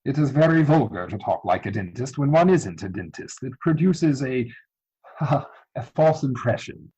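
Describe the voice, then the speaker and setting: A man playing a proper, earnest young English gentleman, evasive and easily exasperated, speaking formally in a dramatic reading of a Victorian stage comedy. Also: medium pitch, big pitch swings, unhurried, husky, highs cut off. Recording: home recording over video call.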